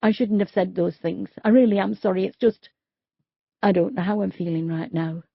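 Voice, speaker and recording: A woman's voice: mid-range voice, fairly even intonation, moderate pace, gravelly, husky, no echo, muffled recording. A woman reading the lines of a grieving, bitter working-class young man who swears and talks in a confrontational way.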